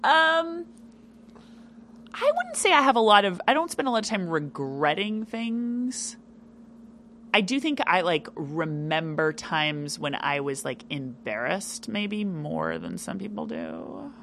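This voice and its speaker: Woman, podcast guest, loud, chatty, filler words, bright tone, faint room noise. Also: mid-range voice, big pitch swings, slow pace. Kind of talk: casual interview chat.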